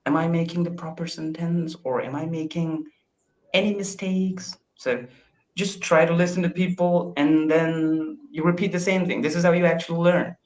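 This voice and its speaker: A man's voice: fairly high pitch, fairly even intonation, normal speed, darkish tone, low-quality recording. A young man with an Indian accent, practicing casual English conversation on a video call, often hesitating and repeating words as he starts sentences. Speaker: English learner.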